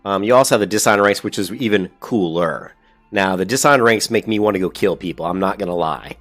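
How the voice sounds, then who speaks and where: A very deep-voiced man talks through a gaming video while fighting a cold, and his voice sounds stuffy and congested. He rambles, corrects himself mid-sentence and gets upbeat over features he likes. Faint music plays underneath. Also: fast talker, a bit bright. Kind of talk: casual commentary reading a website.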